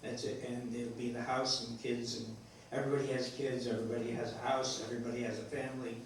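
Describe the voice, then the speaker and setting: A man speaking as a playwright in a rambling discussion, haltingly searching for words and correcting himself. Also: medium pitch, little pitch movement. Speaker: playwright discussing his play. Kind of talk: rambling post-show discussion. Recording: echoey room, distant mic, faint noise.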